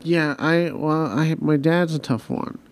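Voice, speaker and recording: A man hosting a podcast, talking personally and reflectively, hesitant and halting with frequent restarts. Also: on the high side, no room sound. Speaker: podcast host. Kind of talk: personal conversation.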